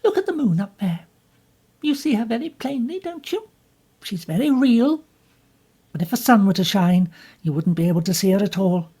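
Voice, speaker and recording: Passionate bitter young woman, pushes every word, kinda husky, woman doing her. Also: medium pitch, expressive, moderate pace, long pauses, dark tone, pitched above the performer's own voice. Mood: impassioned. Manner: intense, pleading, emphatic.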